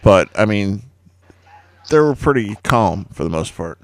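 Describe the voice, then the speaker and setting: A man's voice: lowish voice, very animated, unhurried, dry recording. A man hosting a podcast, chatting casually in a laid-back, dry way. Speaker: podcast host. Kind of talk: casual chat.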